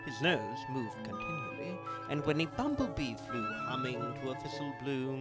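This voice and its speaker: A man's voice: on the high side, rough recording. A man reading scripted storybook narration. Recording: music behind the voice.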